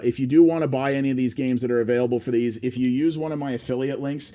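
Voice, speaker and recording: A man's voice: mid-range voice, warm tone. Chatty man streaming a game. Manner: chatty, thinking aloud while clicking through menus. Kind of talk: casual live game first-impressions commentary.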